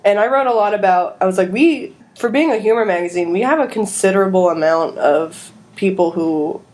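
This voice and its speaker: Young female student co-host, average pitch, loose rambling chat. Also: a little fast, darkish tone. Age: young adult. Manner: rambling, frequent "like". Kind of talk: casual chat.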